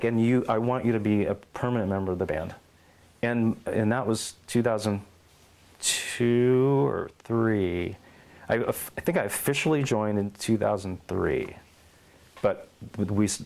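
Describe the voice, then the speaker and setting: A middle-aged man in an oral history interview at his home, telling lively stories and acting out quotes. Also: on the low side. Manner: animated storyteller, acts out quotes and meows.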